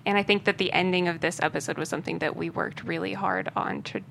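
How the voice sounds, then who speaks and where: A woman's voice: fairly low pitch, steady tone, fast talker, gravelly, a bit bright, slight background noise. A woman speaking casually as a writer being interviewed. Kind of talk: casual chat.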